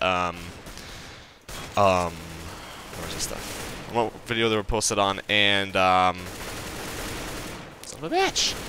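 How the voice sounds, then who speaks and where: A man's voice: on the low side, sharp tone, slight background noise. A nineteen-year-old man giving casual gameplay commentary, rambling and profane, stuttering and leaving sentences unfinished. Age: young adult. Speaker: gaming channel host.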